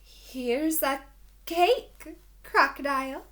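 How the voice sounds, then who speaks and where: Woman playing a high-pitched, eager storybook cook. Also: very slow, fairly bright tone, full character voice. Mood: eager to please. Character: anxious cooks serving and fleeing a crocodile.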